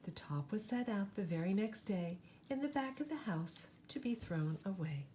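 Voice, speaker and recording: A woman reading a picture book aloud to children in an expressive, dramatic way. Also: medium pitch, normal speed, slight background noise. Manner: animated, dramatic, with asides to listeners.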